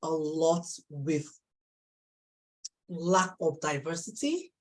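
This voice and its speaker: A woman with a Nigerian accent hosting a live interview, affirming her guest warmly and laughing often. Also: deep voice, takes it slowly. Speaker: host.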